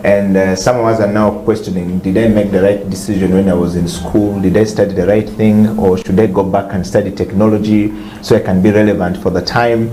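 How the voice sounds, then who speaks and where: A male host, welcoming a guest to his studio interview show in a measured, reflective way. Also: fairly low pitch, level tone, warm tone, roomy sound, slight background noise.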